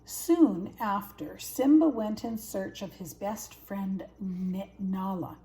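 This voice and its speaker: A woman's voice: mid-range voice, takes it slowly, dark tone. An older woman, a grandmother, narrating a children's story. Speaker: grandmother reading stories.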